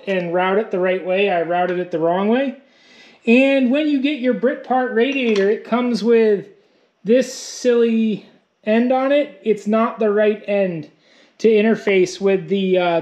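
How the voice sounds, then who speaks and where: A man's voice: high-pitched, darkish tone. Wry man muttering through truck repairs. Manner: wry, self-mocking, muttering to himself while working. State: losing his voice. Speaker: vlogger working on his truck. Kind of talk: casual workshop vlog. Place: cluttered wooden garage workshop.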